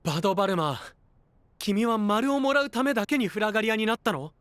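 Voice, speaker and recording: High, expressive, composed young knight. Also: male voice, brisk. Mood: probing. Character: composed, supportive young male fantasy knight. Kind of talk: anime-style voice drama acting.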